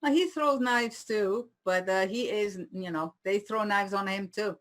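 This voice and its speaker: A woman in her sixties speaks English with a French-Swiss accent, its vowels shaded by years spent in India. She chats in a playful, easygoing way and laughs readily. Her voice sits at an ordinary middle pitch. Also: measured pace. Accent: French-speaking Swiss with Indian-learned English. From Switzerland. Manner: chatty, laughs easily, playful. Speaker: guest. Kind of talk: casual interview chat.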